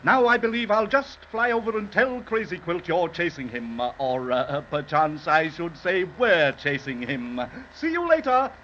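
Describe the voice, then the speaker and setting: An actor playing a pompous, sly, freeloading stork in 1930s radio serial style, with flowery, affected, hemming speech. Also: male voice, a little high, animated, normal speed, few pauses, bright, forceful tone. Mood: satisfied, breezy. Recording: muffled, noisy 1930s radio recording.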